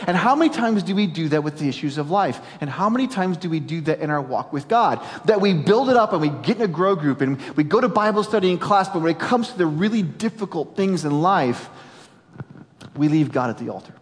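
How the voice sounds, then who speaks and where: A man in his forties preaching a sermon as a pastor, animated and jokey, dropping suddenly to quiet emphasis. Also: high voice. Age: 40s. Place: large church auditorium. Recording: long hall reverb.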